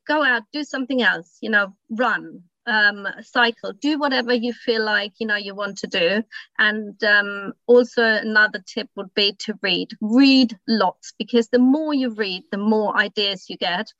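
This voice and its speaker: A woman's voice: medium pitch, pushed and bright, no room sound, highs cut off. A woman whose first language is German, speaking English as a writing coach on a podcast, giving encouraging, practical advice with frequent ums. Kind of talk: casual podcast chat.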